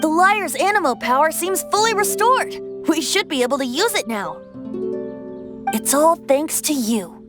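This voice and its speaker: A woman's voice: sits high, sing-song, measured pace. A woman voicing a playful, whimsical young male bard with a theatrical, teasing lilt, in an anime video game. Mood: earnest. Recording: music behind the voice.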